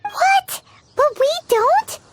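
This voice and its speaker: Squeaky tiny childlike companion. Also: female voice, lively intonation, drawn-out words, very noisy, full character voice. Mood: curious, dismayed. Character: tiny childlike excitable companion, bubbly and curious. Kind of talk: English video game voice acting.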